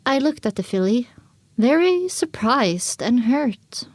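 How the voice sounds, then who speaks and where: A woman narrates a scripted story in the first person. Her voice sits a little high, and the sound is dry, with no room around it. The reading is dramatic, in audiobook style. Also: very animated, takes it slowly, dry recording.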